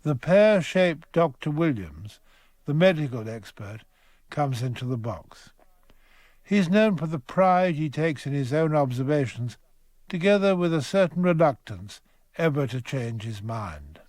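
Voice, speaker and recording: A man narrating a radio courtroom drama from a script in a wry, measured, literate manner. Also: a little high, very animated, a little mellow, dry recording.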